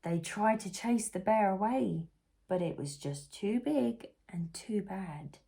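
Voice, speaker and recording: A woman reading a picture book aloud for young children in an animated, unhurried way, putting questions to the listener. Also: medium pitch, gravelly. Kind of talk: expressive storybook read-aloud for young children.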